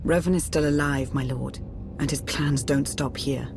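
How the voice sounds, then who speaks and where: Formal Imperial adviser, faint music. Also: female voice, extremely low pitch, fairly even intonation, moderate pace. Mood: measured, serious. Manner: formal, precise. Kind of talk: dramatic sci-fi game dialogue.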